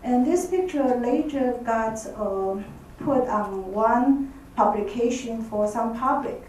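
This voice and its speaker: Taiwanese lady professor giving a talk, kinda high soft-toned voice, hesitant, really echoey room. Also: expressive, slow. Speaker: professor presenting at an academic workshop. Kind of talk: conference presentation, semi-spontaneous. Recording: echoey room, distant mic, faint noise.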